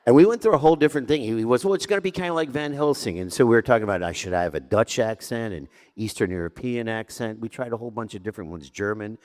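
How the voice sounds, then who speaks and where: Man, actor on a panel, very deep voice, animated storytelling, acts out dialogue. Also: quick pace, hardly any pauses. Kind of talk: anecdotal panel talk.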